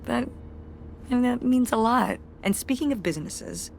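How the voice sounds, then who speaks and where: A woman's voice: fairly high pitch, animated, unhurried, vocal fry, darkish tone, slight background noise. An actress playing a wry, tired middle-aged mother who runs a community theater, speaking with a dry, self-deprecating humor in naturalistic game dialogue. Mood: warm.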